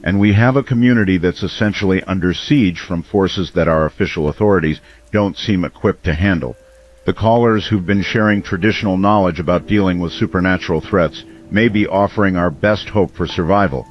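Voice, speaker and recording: Man playing a deep-voiced grave radio host. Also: fairly quick, natural voice. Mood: grave, concerned. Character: grave male late-night small-town radio call-in host. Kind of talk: scripted radio drama monologue. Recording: dull band-limited radio sound, music behind.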